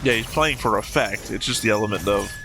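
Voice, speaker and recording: A man giving casual, dryly understated commentary as he watches an animated series. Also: lowish voice, fairly quick, vocal fry, noisy background. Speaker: reaction video host. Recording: music playing behind the voice. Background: show soundtrack music.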